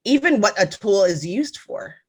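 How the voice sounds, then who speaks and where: A woman's voice: medium pitch, sing-song, normal speed, breathy edge. A woman co-hosting a podcast, chatting casually and drifting into stories with frequent ums. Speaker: podcast co-host. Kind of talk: casual conversation.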